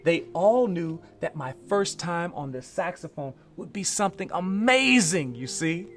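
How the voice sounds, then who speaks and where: A man's voice: high-pitched, expressive, measured pace, fairly bright tone, faint music behind. An American man presenting a children's read-along storybook, encouraging and emphatic as he invites listeners to join in. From Louisiana, USA.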